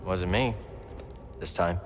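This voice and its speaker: A man's voice: deep voice, slow, background noise. An actor playing a driven young man, a Jedi fighter in a video game, with a casual, understated delivery. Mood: dry, casual. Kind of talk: cinematic video game acting. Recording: long reverb, music behind.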